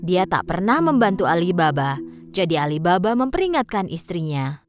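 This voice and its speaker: A woman's voice: fairly low pitch, sing-song, normal speed, soft background music. A woman narrating a children's storybook tale from a script.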